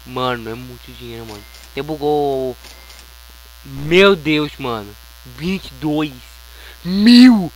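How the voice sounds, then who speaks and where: A man's voice: fairly high pitch, expressive, takes it slowly. A Brazilian man giving casual, slangy gameplay commentary, often muttering to himself. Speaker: gaming YouTuber. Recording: noisy home recording with electrical hum.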